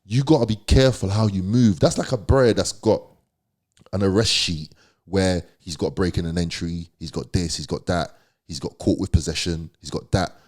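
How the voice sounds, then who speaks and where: Deep-voiced London man telling his story. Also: moderate pace, a little mellow. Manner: slangy, conversational, emphatic. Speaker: podcast guest. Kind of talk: candid storytelling.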